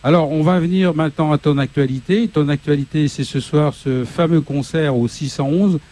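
A man's voice: a little high, moderate pace, warm tone. Man, interview host, hesitant, filler-heavy, studio set. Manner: hesitant, many fillers and restarts. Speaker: local TV show host.

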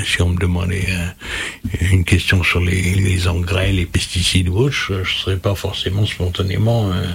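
A man on a talk show panel explaining a point in a didactic way, his voice jumping up for emphasis. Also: husky. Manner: didactic, pitch jumps up for emphasis. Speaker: panel expert.

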